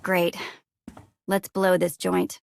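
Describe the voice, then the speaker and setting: A woman playing a snarky, gutsy thief in a video game, with a sarcastic, brisk and informal way of talking. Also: lowish voice, completely flat delivery, creaky, noisy background, exaggerated voice. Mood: eager. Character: snarky, gutsy female thief and tech hand. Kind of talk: video game cutscene acting.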